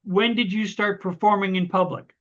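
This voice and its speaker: A man's voice: high-pitched, fairly even intonation, moderate pace. A man hosting an interview, asking long friendly questions that ramble and restart. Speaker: interview host. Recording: dull lo-fi audio.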